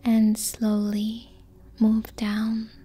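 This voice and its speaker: A woman guiding a sleep meditation in a soft, breathy, near-whispered voice, calm and gentle, in short phrases with long pauses. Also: a little high, soft background music. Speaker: yoga nidra meditation guide. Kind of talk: slow guided sleep meditation.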